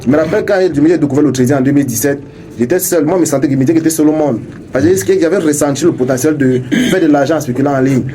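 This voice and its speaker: A young man, an English speaker by birth, guesting on a radio interview and talking quickly with many halting restarts. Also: on the high side, mellow, slight background noise. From Cameroon. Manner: fast, halting talk with many restarts and repeated words. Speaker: radio guest, crypto entrepreneur. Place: radio studio.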